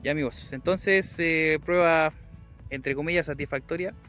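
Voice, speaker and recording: A man's voice: on the high side, moderate pace, a bit bright, soft background music. A man with a Chilean Spanish accent talking casually as an amateur radio operator doing a field test outdoors. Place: outdoors on a hilltop.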